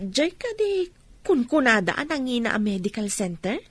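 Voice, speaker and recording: A woman's voice: on the high side, sing-song, normal speed, no echo. A woman with an Ilocano accent playing an inquisitive, sympathetic village friend in a radio drama.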